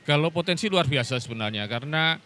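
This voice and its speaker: A man, a government official, answering interview questions politely and explaining at a measured pace. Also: on the high side, lively intonation, pushed and bright, slight background noise. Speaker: local government official guest. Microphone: outdoor event mic.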